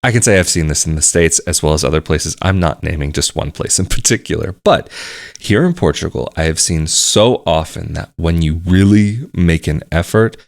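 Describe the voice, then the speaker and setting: Man, very low voice, measured explanatory vlog talk, repeats for emphasis. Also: gravelly. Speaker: vlogger. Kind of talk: casual to-camera talk.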